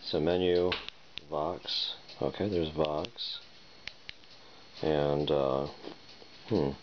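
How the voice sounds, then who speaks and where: Man, extremely deep voice, casual hands-on review, tentative thinking aloud, clearly noisy recording. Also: steady tone, extremely slow. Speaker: product reviewer. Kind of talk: casual unboxing and demonstration talk. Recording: dull lo-fi recording, noisy with clicks and beeps.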